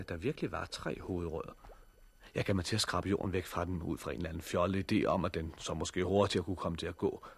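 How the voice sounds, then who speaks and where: An actor playing an earnest, curious teenage boy who loves botany, in 1960s radio drama style, with a husky voice. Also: low voice. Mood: reflective narrating.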